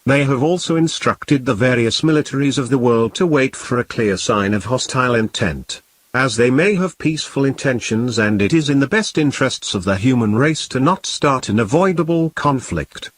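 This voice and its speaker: A man playing a calm, grave emergency broadcast announcer, reading in a formal, measured and solemn way. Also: medium pitch, no room sound, close to an everyday voice. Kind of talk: scripted emergency broadcast narration.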